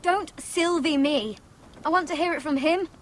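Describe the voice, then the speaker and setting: Actress, young wildling sister, high pitch, sharp-tongued, blunt, distrustful, commanding, fantasy game drama. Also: female voice, moderate pace, close to an everyday voice. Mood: scornful, challenging. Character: blunt, suspicious, protective free-folk sister. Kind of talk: dramatic video game acting.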